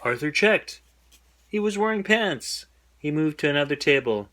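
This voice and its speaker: A man reading a children's bedtime story aloud in an expressive storytelling style. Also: on the high side, normal speed, a little hiss or hum.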